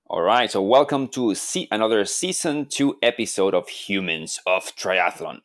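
Male podcast co-host, low voice, wry and joking, casual. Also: lively intonation, moderate pace, fairly bright tone. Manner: wry, joking guest introduction. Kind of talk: podcast interview.

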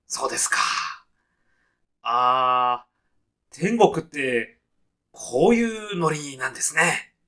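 A man's voice: mid-range voice, lively intonation, slow, pauses often, pushed and bright, full character voice. A man playing a timid, straitlaced salaryman in a comic radio sketch, speaking politely, hesitantly and with reserve. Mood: hesitant, uneasy. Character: timid, straitlaced salaryman newly arrived in heaven.

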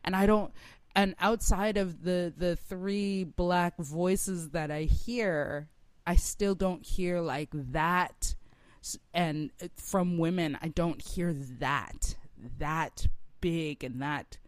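A woman's voice: on the low side, steady tone, slow pace, clean tone, dry recording. A woman, a rock singer, talking as an interview guest in a reflective way, searching for her words as she goes. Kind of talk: conversational interview.

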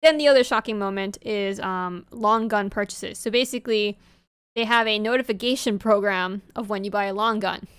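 A woman co-hosting a podcast in casual chat, English not her first language, talking in an animated, rambling way with many fillers. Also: mid-range voice, normal speed, smooth, no echo, clean studio sound. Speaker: podcast co-host.

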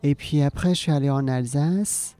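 A woman's voice: low-pitched, normal speed, dark tone. A woman from Hong Kong, a native Cantonese speaker, answering interview questions in French with an accent mixing Alsatian and English, hesitating often with many fillers. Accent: Hong Kong and Alsatian tinged French. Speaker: comedian guest.

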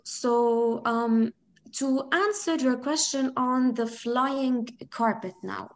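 A woman from Lebanon with a Lebanese accent, Arabic her first language, speaking reflectively as an interview guest and often pausing to rephrase her thoughts. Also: fairly high pitch. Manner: reflective, thinks aloud, frequent "you know" and restarts. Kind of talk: conversational interview.

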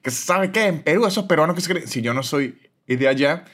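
A man in his thirties from Venezuela with a Venezuelan accent, hosting a casual solo podcast and reading ads in a chatty, animated way. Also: a little high, brisk. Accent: Venezuelan Spanish. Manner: chatty, animated, swings between loud and soft. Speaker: podcast host.

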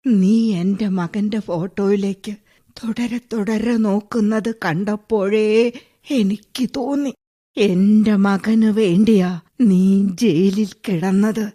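A woman playing a lonely, widowed old mother in a radio play, talking slowly and tearfully with soft sighs. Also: mid-range voice. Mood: tender. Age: older. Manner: slow, tearful, tender speech with long sighs. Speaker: radio drama actress.

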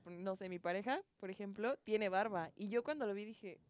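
A woman's voice: medium pitch, moderate pace, dry recording. A young adult woman with a Mexican accent, telling personal anecdotes in casual chat among friends as a co-host.

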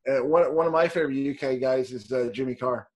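A man from Canada, a guest on a video call, chatting in an easygoing, agreeable way. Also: medium pitch. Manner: easygoing, agreeable, quick to laugh along. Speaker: guest, sales coach. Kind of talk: casual video-call chat.

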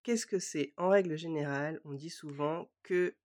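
A woman co-hosting a casual podcast, friendly and quick to laugh, her voice stuffy from a cold. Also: low-pitched, a little mellow. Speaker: podcast co-host.

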